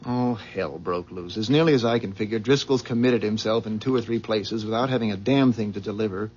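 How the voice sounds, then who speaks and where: A man's voice: on the low side, a little fast, darkish tone, close to an everyday voice. An American actor playing an uneasy, earnest husband and company man in a 1970s radio drama. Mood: weary, worried. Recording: muffled, noisy vintage radio broadcast.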